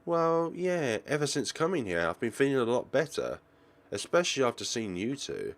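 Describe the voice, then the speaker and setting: A man's voice: medium pitch, sing-song, moderate pace, voice pushed up. A man voicing a meek, wary teenage schoolgirl, the heroine of a visual novel. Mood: friendly.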